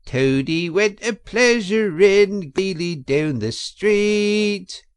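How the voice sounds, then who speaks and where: A man voicing a smug, mocking chief weasel in a storybook, squeaky and given to mock-formal speechmaking. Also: expressive, very slow, smooth, exaggerated voice. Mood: gleeful, taunting. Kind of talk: audiobook character voice.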